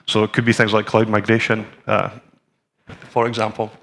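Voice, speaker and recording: A man's voice: lowish voice, level tone, moderate pace, fairly bright tone. A man in his forties from Scotland with a Scottish accent, giving a conversational technical talk and live demo to a conference audience, with frequent ums and restarts. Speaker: conference presenter. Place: conference room with a screen and audience.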